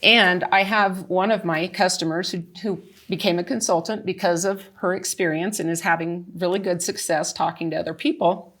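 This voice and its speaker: A woman's voice: fairly low pitch, fairly even intonation, moderate pace, few pauses, fairly bright tone. A woman in her early sixties speaking conversationally as a consultant on a panel, sharing personal testimonial.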